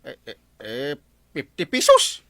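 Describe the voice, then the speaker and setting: Excitable village captain, Ilocano accent, stammering, wildly swinging pitch, bright voice, dry sound. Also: male voice, a little slow, dry recording, exaggerated voice. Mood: flustered, stalling. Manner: stammering, hesitant, exclaiming. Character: flustered, excitable village captain, a bumbling uncle. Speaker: radio comedy actor. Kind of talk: Ilocano comedy drama acting.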